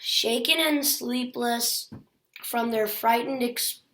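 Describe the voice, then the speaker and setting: A woman's voice: on the high side, slow pace, noisy background. A woman reading a children's picture book aloud as a storyteller.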